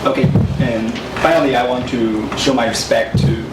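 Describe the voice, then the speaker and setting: A young man, giving a student presentation in a class, halting, with many fillers and self-corrections. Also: medium pitch, steady tone. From Taiwan. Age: 20s. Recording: echoey, distant mic with noise.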